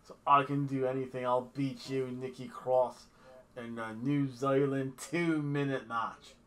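A man's voice: medium pitch, steady tone, a little slow, a bit bright, natural voice. A man voicing a boastful, swaggering woman wrestler with a mock Australian accent, in a casual vlog.

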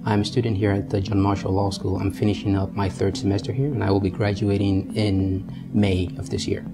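A young law student speaks formally to camera in an office. His voice is lowish and level, and music plays clearly underneath. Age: young adult. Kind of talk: interview to camera. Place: indoor office.

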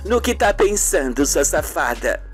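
A man's voice: fairly high pitch, sing-song, measured pace, faint music behind, pitched below the performer's own voice. A man voicing a weary, affectionate female police investigator in an amateur radio soap opera. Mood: affectionate, teasing.

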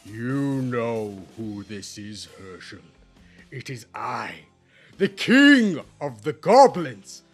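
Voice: mid-range voice, very animated, extremely slow, soft background music, voice pushed down. A storyteller voicing a boastful, menacing goblin king with grandiose, theatrical proclamations. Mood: menacing, grand.

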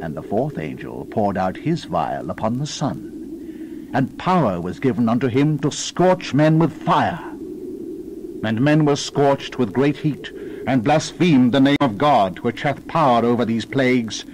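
A man giving a solemn, theatrical dramatized reading of scripture as a narrator, with deliberate emphasis. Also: mid-range voice, expressive, background noise. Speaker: Bible narrator.

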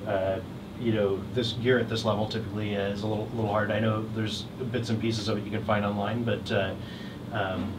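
Man, middle-aged, slightly deep voice, halting dealer presentation, casual, clear background noise, hotel room. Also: little pitch movement. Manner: halting, hesitant delivery with frequent long pauses. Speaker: hi-fi dealer exhibiting at a trade show. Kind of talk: casual product presentation interview. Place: hotel room at an audio show, indoors. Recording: distant mic, clearly noisy room.

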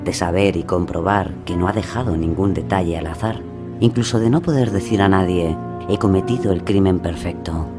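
An actor playing a brooding narrator, telling a crime tale in a deliberate, ominous dramatic style. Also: male voice, deep voice, natural voice. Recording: music behind the voice.

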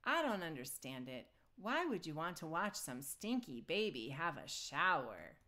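A woman's voice: low voice, big pitch swings, measured pace, pushed and bright, exaggerated voice. A woman voicing a bossy, mock-stern cartoon dog dad from a storybook, with a growling, commanding manner. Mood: puzzled.

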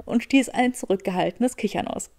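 A woman's voice: a little high, brisk, darkish tone, no echo, studio quality. A woman reading scripted fiction as an audiobook narrator.

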